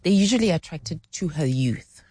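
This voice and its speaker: A woman's voice: sits low, lively intonation, normal speed, mellow, slightly rough, no echo. A woman in her forties from South Africa, explaining relationship ideas in conversational talk, thinking aloud with frequent restarts. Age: 40s. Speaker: relationship expert guest.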